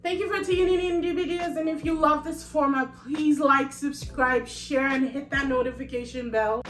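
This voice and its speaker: A woman's voice: high voice, moderate pace. Chatty woman reviewing movies, echoey room. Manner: chatty and animated, laughs often. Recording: echoey room with faint music.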